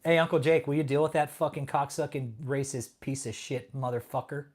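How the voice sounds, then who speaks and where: A man's voice: medium pitch, steady tone, a little fast. Profane taunting man hosting a live stream. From California. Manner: taunting, profane, laughs at chat. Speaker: livestream host. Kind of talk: casual livestream chat.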